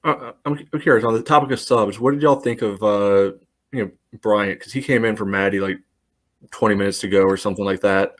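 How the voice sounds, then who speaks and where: A low-voiced man talks through a soccer match on a podcast. He rambles in a relaxed way, with "you know" in nearly every sentence. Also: brisk. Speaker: podcast co-host. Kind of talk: casual soccer discussion.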